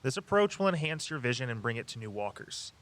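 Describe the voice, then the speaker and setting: Skeptical tour guide, dry, articulate, practical, medium pitch, audio drama. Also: very animated, fast, fairly bright tone, a little hiss or hum, poor audio. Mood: businesslike.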